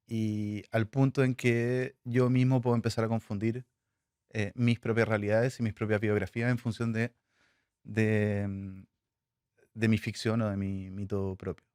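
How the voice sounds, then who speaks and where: A man, a filmmaker, answering interview questions in a halting way, with many fillers and restarts. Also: lowish voice, fairly even intonation, a little mellow, smooth, dry recording, studio quality. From Chile. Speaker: filmmaker guest.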